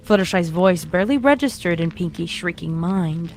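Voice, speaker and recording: A woman's voice: lowish voice, expressive, moderate pace, noisy background. A woman reading a horror story as its narrator, in a dramatic scripted style. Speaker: fan fiction narrator. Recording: music behind the reading.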